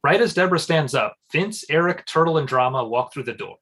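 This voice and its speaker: A man's voice: a little high, expressive, fairly quick, fairly bright tone. A man reading screenplay stage directions as scripted narration at a comedy table read.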